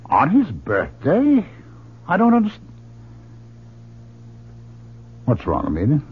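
A man's voice: on the high side, huge pitch swings, moderate pace, husky, full character voice. An actor playing a weary, irritable academic husband and worried father in an old-time radio drama. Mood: concerned. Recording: tinny, band-limited old radio broadcast.

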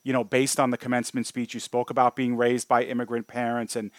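A man's voice: mid-range voice, flat delivery, fast talker, no echo, studio quality. New man hosting an interview. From United States (Brooklyn). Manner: friendly, uses "you know" often. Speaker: podcast co-host. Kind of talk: casual interview.

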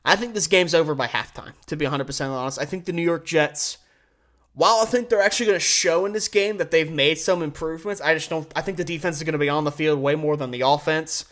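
A man's voice: a little high, fast talker, fairly bright tone. An American man hosting a solo sports show, giving blunt, opinionated commentary and game predictions.

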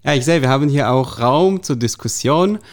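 Guy moderating a panel, clear resonant voice, measured and formal. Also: medium pitch, lively intonation. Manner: measured, formal, with a few fillers.

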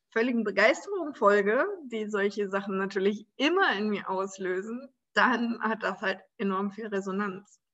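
A woman hosting a spiritual podcast on her own, talking in a relaxed, searching way with many soft pauses and light laughs. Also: medium pitch, muffled recording. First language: German. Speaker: podcast host. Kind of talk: casual solo podcast monologue.

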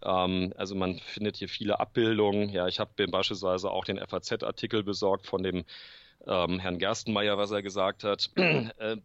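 A man with a cold, answering interview questions about his book in a halting way, clearing his throat, with many fillers and restarts. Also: sits low, level tone, dry recording, muffled recording. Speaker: author guest. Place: room full of books at home.

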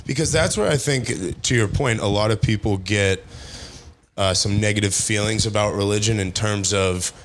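A man's voice: fairly low pitch, normal speed, slight background noise. A man co-hosting a podcast, explaining his points in a thoughtful, casual way. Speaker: podcast co-host. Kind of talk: casual chat.

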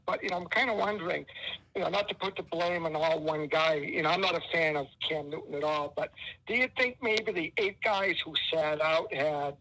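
A man phones in to sports radio with a scratchy, high-pitched voice. He rambles and gushes and leans on plenty of fillers. The tone is bright and a little thin, like it's coming down a phone line. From Methuen, Massachusetts. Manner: effusive, rambling, many fillers. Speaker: caller.

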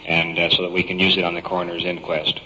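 A man's voice: low voice, flat delivery, quick pace, bright, forceful tone. A man working as a police sergeant, formally and politely questioning witnesses. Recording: dull, echoey, faintly noisy field recording.

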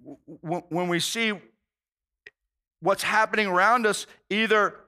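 A man's voice: high-pitched, unhurried, bright, forceful tone, smooth. A male pastor preaching a conversational sermon, earnest and informal, often restarting phrases and saying "man".

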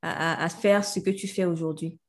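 A woman's voice: fairly low pitch, steady tone, moderate pace. A woman hosting a podcast, talking in a friendly, chatty way and often repeating words while she looks for the next one. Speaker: podcast host. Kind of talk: casual podcast conversation.

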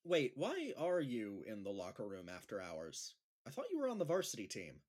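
Awkward high school gym teacher played by a man, audio drama, raspy edge, very animated intonation, flustered and hesitant. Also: moderate pace. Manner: flustered, hesitant, over-explaining. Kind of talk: naturalistic audio drama acting.

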